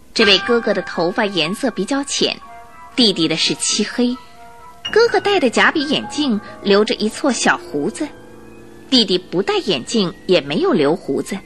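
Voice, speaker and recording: A woman narrating a radio drama, reading a scripted story in an expressive, dramatic storytelling manner. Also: medium pitch.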